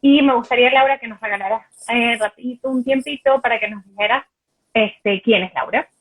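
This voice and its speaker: Woman host, husky-breathy, high-ish, relaxed halting chat over a video call. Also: poor audio. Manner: relaxed, hesitant, lots of fillers. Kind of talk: casual live video chat.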